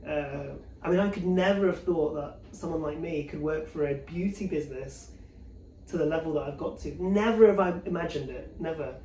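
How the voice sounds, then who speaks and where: A middle-aged man with a high, soft-edged voice speaks as an interview guest. He tells personal stories in a casual, animated style, dropping into quoted remarks. The sound is roomy, as if the mic sits some way off. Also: moderate pace, a little hiss or hum. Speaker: interview guest, corporate executive. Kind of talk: casual conversational personal anecdote. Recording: echoey room, distant mic.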